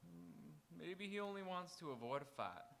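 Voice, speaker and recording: An actor playing a steady, watchful marshal in a live radio Western, folksy but with authority. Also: male voice, a little high, normal speed, creaky, a little hiss or hum. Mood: curious, thoughtful. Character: steady, watchful frontier marshal keeping the peace. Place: auditorium stage, live radio theatre performance.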